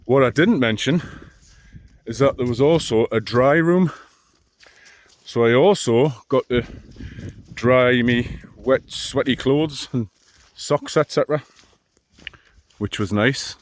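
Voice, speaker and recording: A man, talking in a deep, mumbling voice in a casual walking vlog outdoors, rambling with dry self-mocking asides. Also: slow pace, pauses often, slight background noise. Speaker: long-distance hiker vlogger. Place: outdoors on a hill trail.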